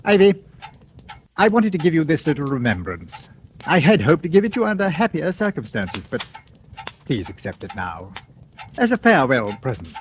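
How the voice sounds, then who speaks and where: Gentle devoted lord, animated voice, hissy 1940s radio play. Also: male voice, on the high side, normal speed, long pauses. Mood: tender. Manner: tender, courtly. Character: gentle devoted titled nobleman. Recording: old radio broadcast with hiss.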